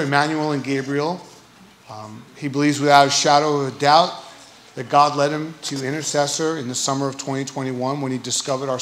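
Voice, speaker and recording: A man introduces a guest from a church stage, adding a friendly joke or two and a few hesitant fillers. His mid-pitched voice rings out in a roomy hall with a faint hum of ambience behind it. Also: fairly bright tone. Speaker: pastor introducing a speaker.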